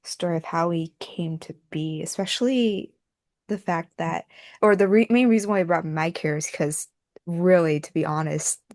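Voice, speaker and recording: A woman with a fairly low voice chats casually in a very dry recording. Her delivery is hesitant and self-deprecating. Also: normal speed, darkish tone, no room sound. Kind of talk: casual chat.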